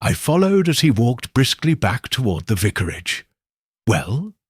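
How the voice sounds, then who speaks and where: A man narrating an audiobook in a slow, deliberate storytelling manner. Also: mid-range voice, big pitch swings, husky, dry recording, studio quality. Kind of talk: scripted narration.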